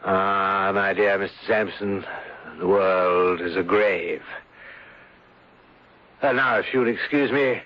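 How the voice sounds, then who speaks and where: A dull, lo-fi radio recording of a smooth gentleman schemer. His voice sits fairly low, and he speaks in florid, unctuous phrases with delicate hesitations and mournful sympathy. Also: steady tone, slow pace, pauses often. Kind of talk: radio drama acting.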